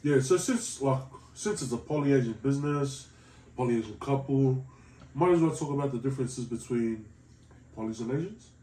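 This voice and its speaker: A man's voice: medium pitch, normal speed, warm tone. A young man from New Zealand with a New Zealand accent, playfully joking and ribbing his wife in casual banter. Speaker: co-host of couple food channel. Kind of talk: casual banter between partners. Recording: echoey room, mic at a distance.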